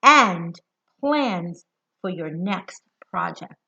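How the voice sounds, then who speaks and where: An American woman reads a children's story at an unhurried, very slow pace. Her voice is bright and forward, with deliberate, expressive phrasing and questions aimed at young listeners. The recording is a little dull and lo-fi. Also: fairly low pitch, creaky. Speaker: children's hospital educator reading a picture book. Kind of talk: children's read-aloud storytelling. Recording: dull lo-fi sound.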